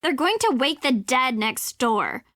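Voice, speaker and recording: A blunt, sardonic woman in a video game. Her voice is high and moves widely in pitch. She takes her words slowly. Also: big pitch swings, fairly bright tone, dry recording, clean studio sound, heavily acted. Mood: exasperated, sardonic.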